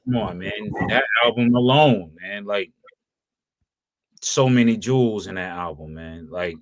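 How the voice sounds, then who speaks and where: A man nearly fifty co-hosting a casual hip-hop chat, rambling and full of "you know", sinking low as he reminisces. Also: measured pace, no room sound. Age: 40s. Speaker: podcast co-host.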